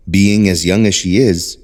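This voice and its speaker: An actor playing a calm, persuasive research doctor in an audio drama, speaking in a measured, polite, soothing way. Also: male voice, sits low.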